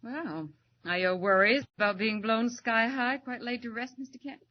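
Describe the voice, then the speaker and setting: Woman doing a feisty reporter gal, high voice, cutting, muffled old radio w/ hiss. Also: normal speed, lightly acted. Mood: scornful. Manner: scornful and cutting toward her colleague. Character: sharp-tongued female newspaper reporter. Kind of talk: 1940s radio acting.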